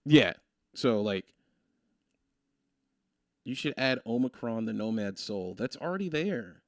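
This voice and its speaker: A man in his twenties from Maryland, chatting casually on a stream, rambling and thinking out loud with frequent laughs. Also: mid-range voice, animated, slow, dry recording, clean studio sound. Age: 20s. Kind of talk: casual chat on a game stream.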